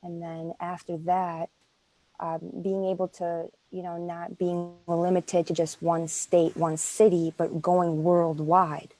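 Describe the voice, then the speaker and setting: A woman's voice: on the low side, little pitch movement, takes it slowly, warm tone, no room sound, poor audio. A woman, a native Greek speaker, talking earnestly in a casual interview, with many fillers and restarts. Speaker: interview guest.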